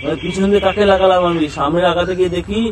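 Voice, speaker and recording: A man's voice: high-pitched, fairly even intonation, very fast, crowd noise. A man making a prank phone call, teasing and suggestive, chuckling as he plays on double meanings. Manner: playful, suggestive, chuckling through his lines. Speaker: prank caller.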